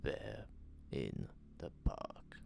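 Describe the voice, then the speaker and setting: A man playing a dazed, shaken middle-aged shopkeeper in an audio horror drama, halting and gravelly. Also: rumbling low, big pitch swings, a little mellow, heavily acted. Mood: dazed, weak. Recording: faint noise under ambient sound effects.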